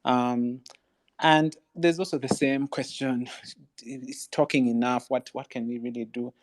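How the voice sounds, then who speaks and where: A man's voice: mid-range voice, fairly even intonation, a little slow, background noise. Thoughtful man. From Uganda. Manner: thoughtful and searching, restarts often. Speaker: panelist.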